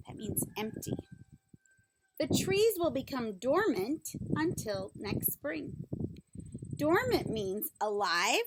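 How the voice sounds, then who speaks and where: High-pitched woman reading slowly to children. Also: background noise. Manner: simple, instructional, pausing to explain and ask questions. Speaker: teacher reading a picture book aloud to young children. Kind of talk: children's read-aloud with explanatory asides.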